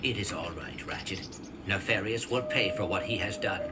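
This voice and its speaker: A man voicing a small, gentle, wise robot in a video game, speaking formally and precisely. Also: low voice, normal speed, heavy background noise, lightly acted. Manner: formal, precise, without contractions. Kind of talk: video game cutscene acting.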